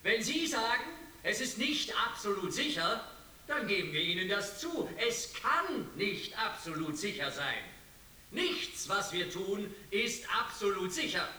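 An actor playing a defensive spokesman in a radio play, measured and conceding, in a hissy, echoey recording. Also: high voice, sing-song, pushed and bright, full character voice. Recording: hissy, echoey, distant microphone.

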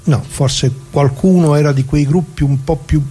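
An actor playing a nostalgic, proud older working-class communist in a radio theatre monologue, talking colloquially and haltingly, repeating his words with earnest feeling. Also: on the high side, steady tone, warm tone, husky. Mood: reminiscing.

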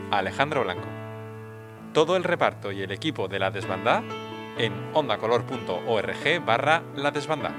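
A man reading scripted podcast credits as an announcer. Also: on the low side. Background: plucked guitar music.

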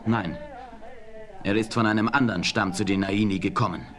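A man's voice: fairly low pitch, steady tone, moderate pace, a bit bright, faint music behind, natural voice. Proud young chief, solemn and stately, acted by a man. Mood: grave. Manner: solemn, declamatory, stately phrasing. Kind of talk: 1970s western radio play acting.

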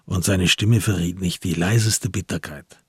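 A man narrating a radio crime drama in a measured, grave, literary storytelling style. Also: low voice, steady tone, breathy edge, no room sound, studio quality.

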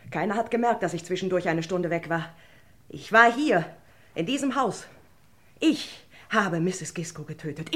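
A lower-voiced female housekeeper turned killer, played by a radio actress. She snaps orders and confesses in clipped, bitter phrases, desperate and in command, in the manner of a crime radio play. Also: a bit bright, lightly acted. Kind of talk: radio crime drama acting.

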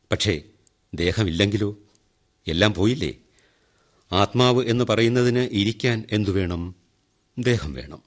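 An actor playing a wry man who talks straight to the audience in a radio drama monologue. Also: fairly low pitch. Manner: wry, chatty and teasing with the listeners. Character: ironic middle-aged man who donates a kidney. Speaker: monologue narrator in a radio play. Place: radio studio.

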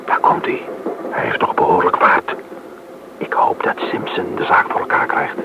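A man's voice: normal speed, dull audio, natural voice. An actor playing a brusque, bossy male criminal in a radio drama, curt and commanding. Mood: tense. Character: brusque, bossy criminal gang member holding up a train. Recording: noisy old radio play recording.

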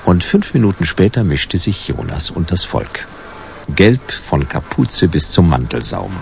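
An actor playing a world-weary private detective of the future in a radio drama, dry, laconic and sardonic, narrating in noir style. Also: male voice, sits low, sing-song, a little slow, creaky, full character voice. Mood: wry narrating. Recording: dull, noisy radio drama recording.

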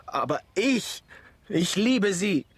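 An actor playing a desperate, pleading young man in love in a radio drama, with a husky voice. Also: high voice, sing-song, takes it slowly, no echo, full character voice. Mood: anguished.

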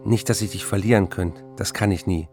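An actor playing a troubled writer confessing tenderly and remorsefully to his wife in a German radio play, intimate and measured. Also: low-pitched, darkish tone, soft background music, natural voice. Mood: tender confession. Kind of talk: German radio play, reading letters and memoirs.

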